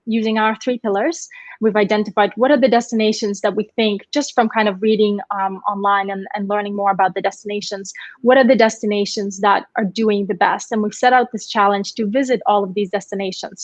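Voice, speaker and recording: A woman's voice: mid-range voice, dry recording. A woman speaking as a panel guest on a video call, chatty with lots of fillers. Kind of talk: casual panel discussion.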